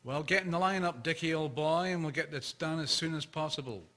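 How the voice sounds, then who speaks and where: A man's voice: a little high, a little fast, over loud music, lightly acted. A man playing a folksy, hearty old-time blacksmith, exclamatory, in a radio drama. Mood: wry, obliging.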